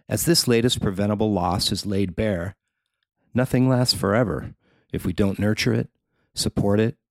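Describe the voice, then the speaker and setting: A man's voice: on the low side, no echo. A man reading his own written feature article aloud as scripted narration.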